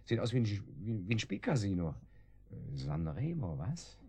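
A domineering kidnapper in a radio drama. His voice sits on the low side, and he speaks with sarcasm and menace, mocking and taunting like someone used to being the boss. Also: very animated, normal speed, vocal fry, dark tone, slightly rough, full character voice. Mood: sneering, prying. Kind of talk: German radio drama acting.

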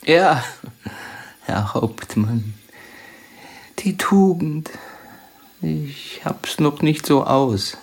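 A humble, downtrodden soldier in a radio play. His voice is husky and slow, with submissive, halting, plain-spoken delivery. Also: on the high side, animated, full character voice. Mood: humble, bitter. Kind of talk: theatrical radio drama acting.

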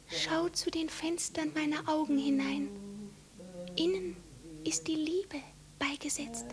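An actress playing a feverish, deranged young girl in a radio drama, murmuring dreamily and repetitively. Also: very high-pitched. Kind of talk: 1950s German radio drama acting.

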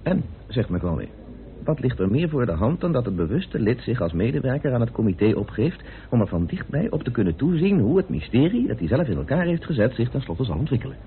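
An actor playing a troubled, stubborn amateur detective with an earnest, brooding manner in an old Dutch radio drama. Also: male voice, medium pitch, very animated, fairly quick. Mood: grave, earnest. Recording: noisy muffled tinny old radio recording.

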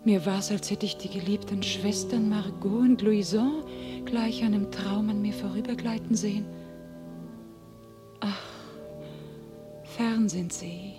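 An actress playing a young peasant heroine in a classic radio drama, speaking impassioned, declamatory verse. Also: female voice, mid-range voice, close to an everyday voice. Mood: wistful. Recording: music under the radio play.

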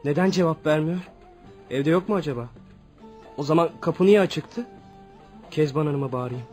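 Man acting a high-voiced, earnest young schoolboy. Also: moderate pace, darkish tone, faint music behind, natural voice. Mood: puzzled, calling out. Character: dutiful, excitable young schoolboy son. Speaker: radio drama actor. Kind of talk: Turkish radio theatre acting.

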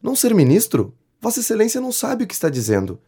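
An actor playing a pompous, flattering inventor who petitions a politician, grandiloquent and effusive in a period comedy audio drama. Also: male voice, sits high, fast, breathy edge, heavily acted. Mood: aghast, agitated. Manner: grandiloquent, effusive, theatrically indignant. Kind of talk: audio drama acting of a 19th-century comedy.

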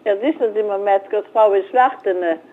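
A woman's voice: mid-range voice, moderate pace. A butcher's wife on a telephone call, an amused and down-to-earth woman. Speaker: butcher's wife answering the phone. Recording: muffled phone line, faint noise.

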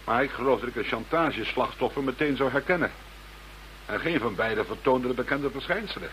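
A man's voice: mid-range voice, lively intonation, brisk, a bit bright, lightly acted. Chief inspector, male actor: unflappable, dry authority, mid-range voice, faintly noisy radio drama. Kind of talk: radio play acting.

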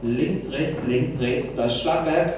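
A man's voice: mid-range voice, slow, very noisy. A man calling out a soundcheck in clipped single words. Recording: distant, very echoey hall with music.

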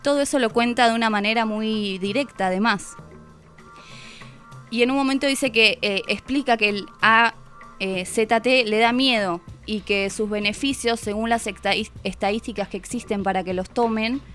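A woman's voice: on the high side, measured pace, fairly bright tone. Argentine woman chatting about a book, kinda reflective, bit of music behind her. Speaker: guest reviewing a book. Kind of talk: unscripted literary talk.

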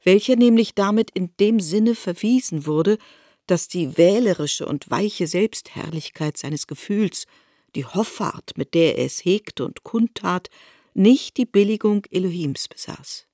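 A woman's voice: medium pitch, lively intonation, a little slow, warm tone, no echo, clean studio sound. A woman narrating a literary radio reading in a precise, formal way.

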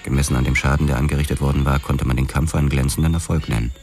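A man's voice: very deep voice, steady tone, very fast, a little mellow. An actor playing a hard-boiled boxer turned policeman, narrating his past tersely and grimly in a noir radio drama. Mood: dry, reflective. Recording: music behind the narration. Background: dramatic music.

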